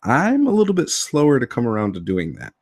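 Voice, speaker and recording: A man with a hoarse voice from being sick, talking casually to camera about tabletop games, rambling with many ums and uhs. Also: medium pitch, huge pitch swings, fairly quick, no room sound, clean studio sound. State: hoarse from illness. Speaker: tabletop RPG YouTuber.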